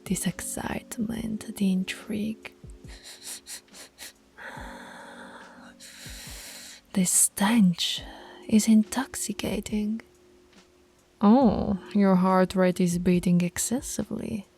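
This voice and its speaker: A woman voicing a dominant female alpha werewolf in an intimate roleplay, commanding yet affectionate, with a breathy, husky voice and slow, deliberate delivery. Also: mid-range voice, fairly even intonation, dark tone, soft background music. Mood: sultry, predatory. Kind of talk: intimate ASMR roleplay voice acting.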